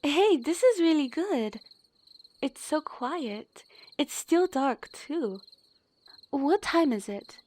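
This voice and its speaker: A woman's voice: high-pitched, expressive, takes it slowly, a little mellow, a little hiss or hum. A woman playing an earnest, plucky young military analyst in an audio drama, speaking expressively. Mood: sleepy, curious.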